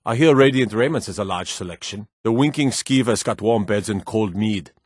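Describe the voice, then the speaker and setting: An actor playing a gruff, proud Nord guard in a fantasy video game, blunt and curt with folksy rustic phrasing. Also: male voice, lowish voice, normal speed, no room sound, clean studio sound, lightly acted. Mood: blunt, matter-of-fact.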